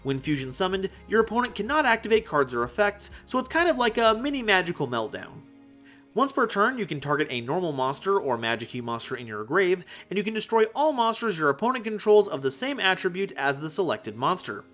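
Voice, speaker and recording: A man presenting scripted card game commentary in an animated, playful way, with conversational asides. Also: high voice, a little fast, soft background music. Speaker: host of a card game analysis channel.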